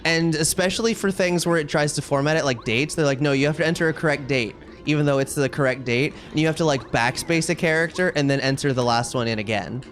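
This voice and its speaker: A man's voice: a little high, quick pace, few pauses, a bit bright, soft background music. A man livestreaming a video game, chatting casually with viewers and commenting on play in a wry, ranting way.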